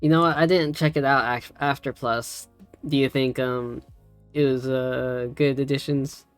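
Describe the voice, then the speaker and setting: A woman's voice: rumbling low, fairly even intonation, unhurried, clean tone, soft background music. A woman streamer teaching a tutorial in a casual, easygoing, loosely wandering way. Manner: easygoing, giggly, easily sidetracked. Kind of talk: casual chat.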